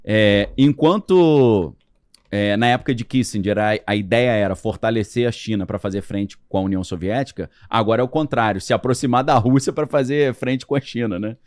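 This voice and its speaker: A man's voice: lowish voice, fairly quick, a little mellow, no echo, studio quality. A man talking as a podcast guest, explaining history in a casual, loose way with plenty of slang and fillers.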